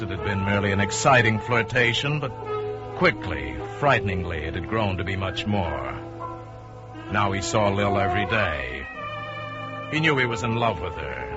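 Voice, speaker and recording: A dull old radio recording with music underneath carries an actor as a low-voiced husband coolly plotting murder. He is measured and deliberate, pausing for drama in a mid-century crime thriller manner. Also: lively intonation, lightly acted. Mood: brooding, measured. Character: married man coolly plotting to kill his wife. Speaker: narrator and lead character.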